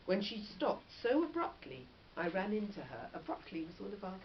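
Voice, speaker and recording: A woman, a teacher reading a story aloud and putting questions to her class in an expressive, encouraging way. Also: mid-range voice, normal speed. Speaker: primary school teacher. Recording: dull lo-fi recording with background noise.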